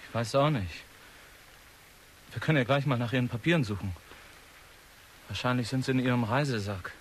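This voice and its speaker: A male actor plays a troubled son in his twenties in a radio drama. He is secretive and subdued yet insistent, with a medium-pitched voice and faint noise in the recording. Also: a little fast, natural voice. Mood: subdued, tense. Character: troubled 23-year-old son, secretive, pleading, confessing killer. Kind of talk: German radio drama acting.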